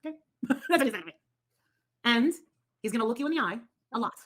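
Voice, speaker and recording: A woman chatting on a livestream, animated and teasing. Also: a little high, fast talker. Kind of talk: casual livestream chat.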